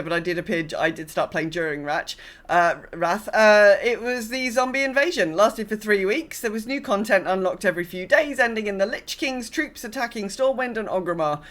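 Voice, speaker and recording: A woman's voice: mid-range voice, expressive, normal speed, a bit bright. A woman co-hosting a podcast, talking casually and a little wryly about a video game. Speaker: podcast co-host.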